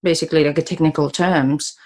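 A woman's voice: low-pitched, steady tone, fairly quick, darkish tone. A woman who speaks natively, co-hosting and interpreting, chatting in a friendly way with many short replies. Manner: friendly, backchannels a lot. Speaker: podcast co-host and interpreter. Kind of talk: casual chat.

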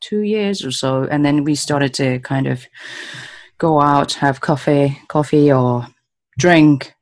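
A woman's voice: low voice, takes it slowly, dry recording, clean studio sound. A woman, hosting a friendly interview and laughing often as she chats. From Japan. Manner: animated, chatty, laughs often. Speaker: podcast host. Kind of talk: casual interview chat.

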